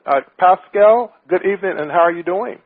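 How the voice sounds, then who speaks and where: A male radio host with a slightly high, somewhat husky voice, upbeat and emphatic. The sound is dry and tinny, like a phone line. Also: a little fast, no echo. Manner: upbeat, emphatic, repeats himself. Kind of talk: hosting an internet radio talk show.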